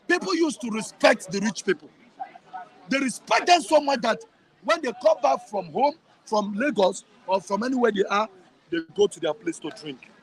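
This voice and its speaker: Impassioned high-voiced Nigerian man. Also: a bit bright, husky, low-quality recording. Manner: passionate, emphatic, repeats phrases. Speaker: guest. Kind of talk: impassioned interview answers.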